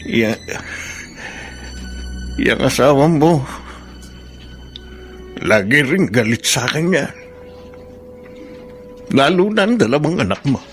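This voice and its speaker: A man's voice: mid-range voice, animated, slow, long pauses. A man playing a lonely elderly widowed grandfather, plaintive and hurt, in a Filipino audio drama. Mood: hurt, bitter. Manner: plaintive, sorrowful. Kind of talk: Filipino radio-style drama acting. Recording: music under the voice.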